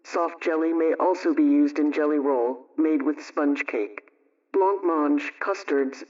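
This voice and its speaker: High-voiced man on a distant old-style radio. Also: darkish tone, dull audio. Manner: instructional, measured recipe delivery. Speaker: radio homemaking show host. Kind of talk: scripted reading of a vintage radio homemaking broadcast.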